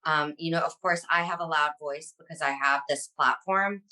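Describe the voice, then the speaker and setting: A woman with a low-set voice gives conversational interview answers. Her tone is strikingly bright and clear-cut, and she comes across as earnest, speaking as a guest advocate. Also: moderate pace.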